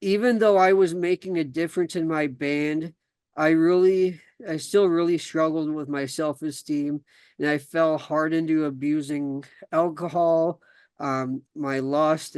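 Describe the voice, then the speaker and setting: A man's voice: fairly high pitch, steady tone, measured pace, darkish tone, clear, resonant tone, no echo. A young man from South Dakota hosting a podcast, talking casually with many fillers and restarts, then reading his own story aloud. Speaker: podcast host.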